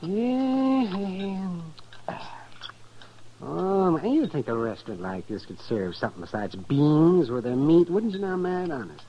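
A man's voice: fairly high pitch, animated, slow pace. A man acting an older, folksy, teasing small-town doctor in a 1950s radio western, hemming and chuckling as he talks. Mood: grumbling. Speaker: actor playing a town doctor. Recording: muffled, noisy old radio recording.

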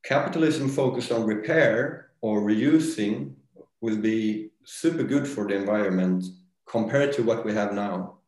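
A man who founded a brand, talking as a guest in a casual interview, halting and restarting his sentences as he thinks. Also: fairly low pitch, a little mellow. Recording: roomy echo.

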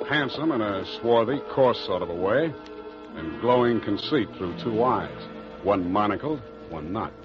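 An actor playing a hard-boiled private detective in an old radio drama, sardonic and clipped, with dryly comic delivery. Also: male voice, lowish voice, lightly acted. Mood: wry narration. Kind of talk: 1940s radio acting, first-person detective narration. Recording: dull old radio recording with music.

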